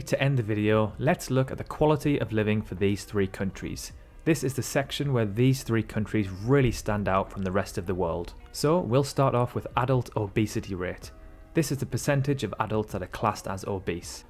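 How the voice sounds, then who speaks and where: A man's voice: lowish voice, darkish tone. A man narrating a scripted country comparison in an upbeat, emphatic way. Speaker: video narrator. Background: faint background music.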